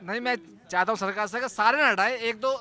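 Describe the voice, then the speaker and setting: Male passer-by, extremely high, forceful voice, casual, earnest, listing points. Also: fast. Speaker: member of the public. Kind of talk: casual street opinion. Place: outdoors on a street.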